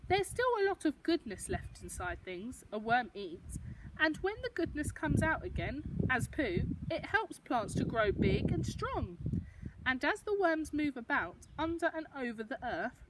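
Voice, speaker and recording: A woman's voice: on the high side, very animated, a little slow, a bit bright, recorded from a distance, a little hiss or hum, rough recording. A woman reading a picture book to young children, expressively, with explanatory asides and questions for the listeners. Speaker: storybook reader for young children.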